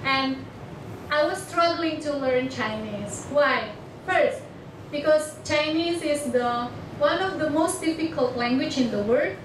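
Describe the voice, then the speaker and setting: A young Indonesian woman with a high voice gives a talk in halting English, often stopping to find her words. Batak is her first language. She is picked up from far off in an echoing lecture hall with clear background noise. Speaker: international student speaker. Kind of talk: personal presentation to an audience. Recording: echoey hall, far mic, noisy.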